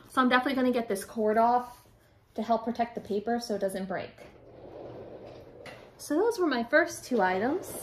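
A woman in her forties with a fairly high voice talks casually to her viewers in a home haul vlog. She sounds slightly out of breath and rambles, often correcting herself as she goes. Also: slight background noise. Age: 40s. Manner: rambling, self-correcting, addresses viewers directly. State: a little out of breath. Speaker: reseller showing flea market finds. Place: furnished room at home, indoors.

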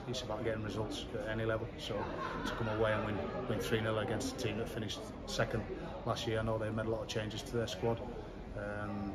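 A middle-aged man talks through a match in a low, very flat voice, stopping and restarting often. He stands in a covered stadium stand, and loud crowd noise carries behind him. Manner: measured, halting, many restarts and fillers. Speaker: football manager. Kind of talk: post-match interview.

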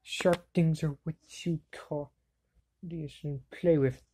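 Girl doing a mouthy anime kid sidekick, low voice, takes its time, brash and slangy, homemade fandub sound. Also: fairly even intonation, slow, dark tone, a little hiss or hum. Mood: grumbling, muttering. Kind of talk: anime fan-dub acting, solo voice clips.

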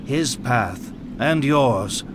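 Solemn cryptic prophet seer for a game, real slow, mid pitch. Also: male voice, lively intonation, background noise. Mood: solemn, foreboding. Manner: portentous, deliberate. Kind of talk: dramatic fantasy game dialogue.

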